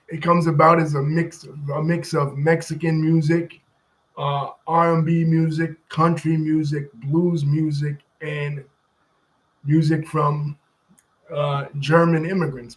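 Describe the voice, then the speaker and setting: Man, commentary host, slightly high-pitched, casual, explanatory, step by step, chats with people off camera. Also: low-quality recording. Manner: conversational, explains history step by step.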